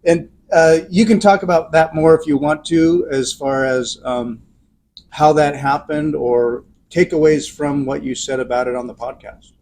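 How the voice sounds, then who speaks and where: A man's voice: fairly high pitch, steady tone, unhurried, a little mellow, a little hiss or hum. A man co-hosting a podcast, chatting casually, laughing and chiming in with his own examples. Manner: chatty, laughs often, chimes in. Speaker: podcast co-host.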